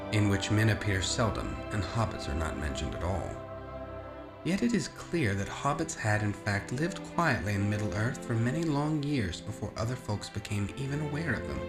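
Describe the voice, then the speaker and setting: A man narrating a storybook in a measured, expressive, scripted audiobook style. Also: fairly low pitch. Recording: roomy echo under orchestral music. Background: orchestral music.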